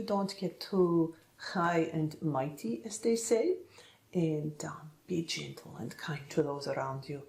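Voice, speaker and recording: A woman giving a soft-spoken ASMR-style tarot reading in a gentle, reassuring, musing manner, often pausing to check in with the listener. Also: low voice, roomy sound, rough recording. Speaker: tarot reader.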